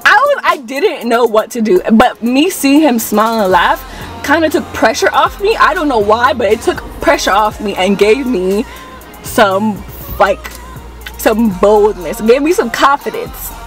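A woman's voice: on the high side, a little slow, faint music behind. A young woman vlogger telling a gossipy storytime in a parked car, talking into a camera at arm's length. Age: young adult. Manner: animated and gossipy, full of quoted voices. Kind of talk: casual storytime.

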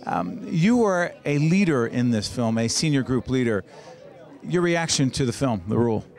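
A man's voice: mid-range voice, lively intonation, moderate pace, darkish tone. A man hosting an on-location interview, asking probing, leading questions. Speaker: interviewer host. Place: outdoors at a festival event.

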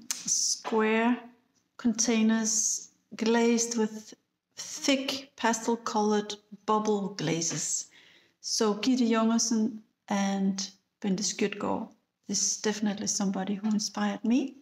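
Woman, 40s, slow measured pace, reflective pauses, recorded on a phone indoors. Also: medium pitch, steady tone, darkish tone. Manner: slow, thoughtful, with pauses and fillers. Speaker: ceramic artist. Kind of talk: recorded interview answer. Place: indoor room. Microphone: phone held close, selfie video.

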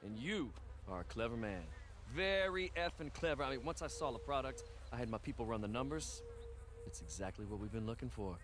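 A man's voice: medium pitch, expressive, brisk, noisy background, close to an everyday voice. An actor playing a smarmy American businessman with a cultish streak, selling big promises in a video game. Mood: smooth, hyping. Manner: smarmy, salesman-like. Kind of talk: video game cutscene acting.

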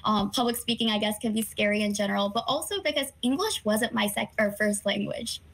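A young woman whose first language is Korean answers news interview questions earnestly, with frequent pauses and fillers. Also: medium pitch, rough recording. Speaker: student organization president, guest.

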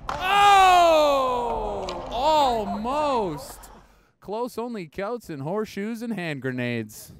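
A man's voice: high-pitched, clean tone. A man giving live sports commentary on a timed challenge in an indoor arena. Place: large indoor ice arena. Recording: noisy echoing ice rink ambience.

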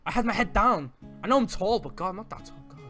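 A man improvising a casual Minecraft roleplay, excitable and often repeating words in stammered bursts. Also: high-pitched, quick pace, music in the background. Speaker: roleplay player.

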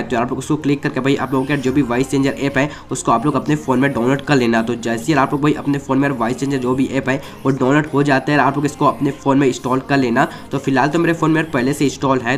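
A man narrating a casual step-by-step gaming tutorial in a chatty way. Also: medium pitch. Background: background music.

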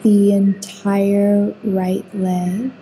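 A woman guiding a sleep meditation with soothing, unhurried instructions and long pauses between phrases. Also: mid-range voice, level tone, mellow, a little hiss or hum, rough recording. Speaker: meditation guide.

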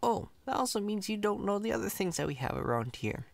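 A man's voice: sits high, sing-song, a little fast, gravelly, dry recording, exaggerated voice. A man playing a chatty, cocky, friendly company employee in an ASMR roleplay, casual, reassuring and playfully boastful. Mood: easygoing, friendly.

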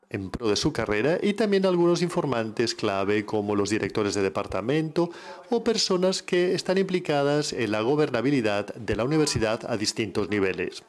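A man renders an academic lecture as a live interpreter, speaking from a booth at a conference. His voice sits at an ordinary middle pitch. He keeps a measured delivery and often catches and corrects a word mid-phrase. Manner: measured, with frequent self-corrections.

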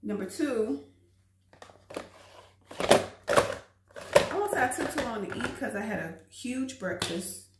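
A woman's voice: fairly high pitch, lively intonation, slow pace, pauses often, echoey room, very noisy. A woman in her forties chatting casually to her viewers as she films a cook-with-me vlog at home, laughing often. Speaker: vlogger. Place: home bathroom, then kitchen. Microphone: phone camera at arm's length.

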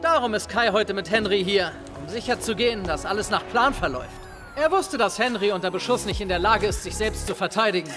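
A man's voice: sits high, animated, a little fast, over music. A young man playing a smug, scheming teenage schoolboy in an audio drama, with a taunting, theatrical manner. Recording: long reverberant hall sound.

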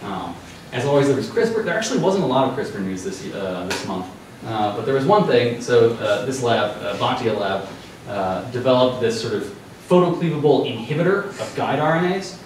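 A young man giving an informal science news talk in a dark lecture room, loose and conversational with many ums and restarts. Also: mid-range voice, animated, moderate pace, slight background noise. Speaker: presenter. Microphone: far room mic. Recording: echoey hall from far off.

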